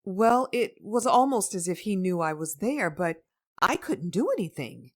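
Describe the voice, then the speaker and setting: A woman playing a distraught young wife shaken by a dream, halting and emotional, in a dramatic comic dub. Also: mid-range voice, lively intonation, close to an everyday voice. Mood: distraught, pleading.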